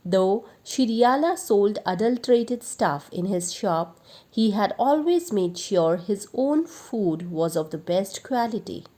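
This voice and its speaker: Slow-paced woman telling a children's story. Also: medium pitch, animated, mellow. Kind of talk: expressive children's story narration.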